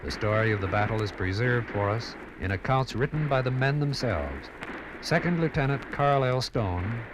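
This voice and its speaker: A man narrating a 1950s radio history program in a measured, dramatic way. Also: mid-range voice, expressive, noisy background.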